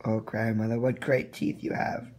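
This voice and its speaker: A man's voice: on the low side, level tone, moderate pace, darkish tone, lightly acted. A man voicing an innocent, cheerful little girl in a storybook, chatty and trusting. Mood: eager.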